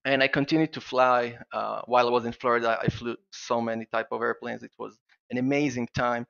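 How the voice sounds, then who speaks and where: Israeli guy w/ an accent telling his story on a podcast, gravelly and bright, stops and restarts a lot. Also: medium pitch, level tone, dull audio. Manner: halting, many restarts and fillers, eager. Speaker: guest plugin developer. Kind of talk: casual podcast interview.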